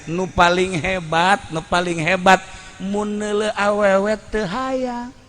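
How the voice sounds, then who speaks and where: A man's voice: high voice, fairly even intonation, slow pace, a bit bright. A man with a Sundanese accent preaching through a folk tale, in an earthy, blunt storytelling way that acts out the dialogue. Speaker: Islamic preacher.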